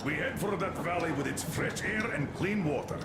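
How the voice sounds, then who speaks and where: A man's voice: moderate pace, natural voice. An actor playing an older military commander and protective father in a video game, speaking with emphatic authority. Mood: firm, reassuring. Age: middle-aged. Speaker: colonel, leader of the group. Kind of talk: dramatic video game voice acting. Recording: roomy, loud rumbling train noise.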